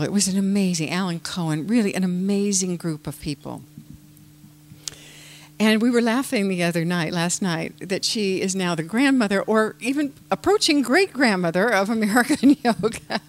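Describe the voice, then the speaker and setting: A woman hosting a gathering, introducing the guest of honour. Her voice sits a little low, and she speaks with effusive admiration.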